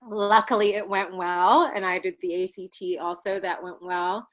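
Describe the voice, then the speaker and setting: A thoughtful woman from Ghana in her forties, speaking as an interview guest. She recounts her path in a measured way, with frequent ums and small laughs between thoughts. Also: mid-range voice, steady tone, a bit bright. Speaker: plastic surgeon guest. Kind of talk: interview, life story.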